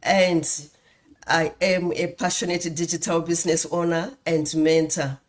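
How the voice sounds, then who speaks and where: A woman's voice: fairly low pitch, little pitch movement, measured pace. A woman from Zimbabwe giving an earnest motivational talk as a guest speaker, asking rhetorical questions and stressing lists of points. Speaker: guest speaker, nurse and business mentor. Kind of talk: motivational talk on a group call.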